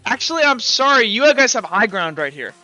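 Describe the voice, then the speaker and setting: A young man with a high, bright voice runs a tabletop game as game master. He talks in a rambling way, full of fillers and quick self-corrections. Faint music plays behind him. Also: big pitch swings, dry recording. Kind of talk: running a tabletop game online.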